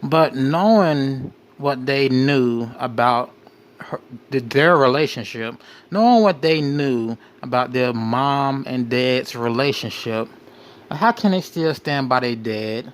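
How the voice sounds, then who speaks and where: A man hosting a crime commentary, reading out case details in a halting way and often repeating his phrases. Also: mid-range voice. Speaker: show host.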